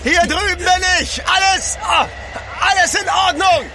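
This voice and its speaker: An actor in a German radio drama playing a terrified, nervous sailor sidekick. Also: male voice, very high-pitched, fairly even intonation, a little slow, sharp tone, shouting, some chatter behind, slight background noise, full character voice. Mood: panicked. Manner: nervous, panicky.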